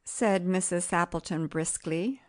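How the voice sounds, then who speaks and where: A woman reading a literary story as an audiobook narrator, measured and expressive. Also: on the low side.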